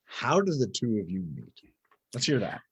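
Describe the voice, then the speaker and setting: A man's voice: mid-range voice, sing-song, moderate pace, breathy edge. A man hosting a casual radio interview, upbeat and chatty, laughing often. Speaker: podcast host.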